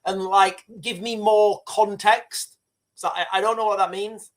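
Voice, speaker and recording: A man's voice: high voice, fairly even intonation, measured pace. Middle-aged male livestream host, loose rambling storyteller who swears and restarts sentences. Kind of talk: casual live commentary and storytelling.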